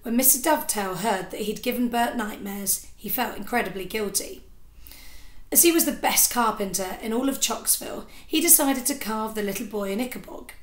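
A woman in her thirties reading a children's story aloud with expressive narration, like a teacher, into a device at arm's length indoors. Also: on the high side. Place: small indoor room with sofa. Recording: echoey room.

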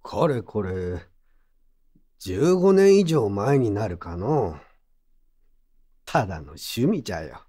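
An actor playing a gruff but kindly old pottery mender with brusque old-man speech, in a Japanese audio drama. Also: on the low side, sing-song, slow pace, pauses often, mellow, exaggerated voice. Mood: kindly, reflective. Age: older.

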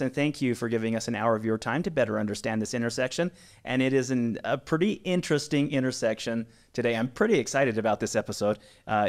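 A man in his forties hosting a podcast, upbeat and chatty with many fillers, speaking into a studio mic in a small studio. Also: mid-range voice. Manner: upbeat, with frequent "uh" fillers and restarts. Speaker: podcast host. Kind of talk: casual podcast interview. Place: small studio with brick wall, indoors. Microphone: studio mic on boom arm.

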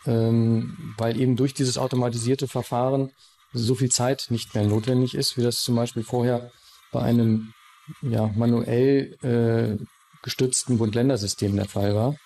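A man in an explanatory interview, speaking formally and technically as a police expert, with halting phrasing. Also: mid-range voice, little pitch movement, vocal fry, dark tone. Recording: faint background noise.

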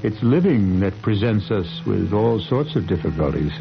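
A man's voice: lowish voice, sing-song, normal speed, creaky. A man hosting a 1970s radio mystery with theatrical, wry narration full of rhetorical questions. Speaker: host and narrator. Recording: dull vintage radio recording, loud music.

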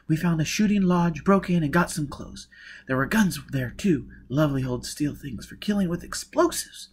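A man voicing a brusque, irritable woman on the run in an audiobook, impatient and forceful. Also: fairly high pitch, lively intonation, moderate pace, a little hiss or hum, voice pushed up, lightly acted. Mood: matter-of-fact. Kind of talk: audiobook character voice.

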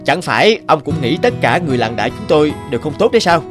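A man's voice: a little high, big pitch swings, fast talker, music in the background. An actor playing a crafty, stubborn older village man in a cartoon folktale, arguing in a pointed way. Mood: sly, reproachful.

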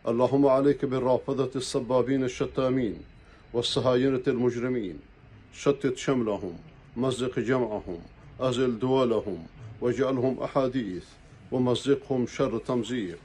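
An older man delivering a Friday sermon in a small room, reciting formal prayers at a slow, measured pace into a lapel mic. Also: medium pitch, darkish tone. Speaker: preacher. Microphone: clip-on lapel mic.

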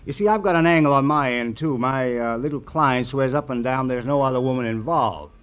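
An actor playing a cagey, taunting American money-grubber in an old radio crime drama. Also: male voice, medium pitch, a little fast, close to an everyday voice. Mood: sly, taunting. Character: sleazy, evasive money-grubbing male operator. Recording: muffled old radio recording.

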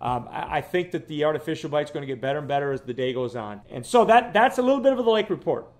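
A man's voice: a little high, expressive, fast, a little mellow. A man in his fifties, a fishing guide hosting his vlog from his living room, talking casually and rambling, often repeating words. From Florida. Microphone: camera close up, seated.

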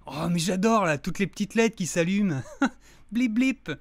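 A man acts a playful brother in an audio fiction. His voice sits fairly high and swings widely. He is enthusiastic, careless and always joking. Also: lively intonation, measured pace, creaky, exaggerated voice. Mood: amused wonder. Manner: jokey, enthusiastic.

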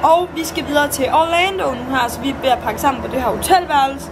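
Chatty teenage girl vlogging on the go. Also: high voice. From Jutland, Denmark. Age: teens. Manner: chatty, rambling, many fillers. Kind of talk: casual travel vlog chat. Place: car, shops and outdoors by a mall. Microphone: handheld camera at arm's length. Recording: road and street noise behind.

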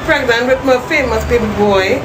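A woman's voice: on the high side, normal speed. A young woman, telling her story as a grateful client in a rambling, chatty way. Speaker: satisfied client giving a testimonial. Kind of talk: casual testimonial. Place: indoor room, seated on a red sofa. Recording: distant mic, loud air-conditioner and traffic noise.

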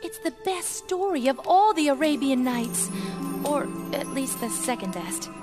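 A high, earnest genie girl. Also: lively intonation, unhurried. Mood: flustered. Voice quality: earnest, formal, a little fussy. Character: earnest young female genie of a ring. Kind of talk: video game cutscene voice acting. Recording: loud music bed.

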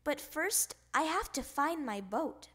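A woman voicing an excitable, insistent young boy frog who stalls at bedtime in a storybook. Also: sits high, slow pace, heavily acted. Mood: eager. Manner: exclaiming, insistent.

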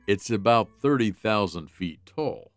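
A man voicing a gruff, world-weary, protective big dog in a comic dub, with a dry, sarcastic, deadpan manner. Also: on the low side, a little slow, no echo, soft background music. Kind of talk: animated comic dub acting.

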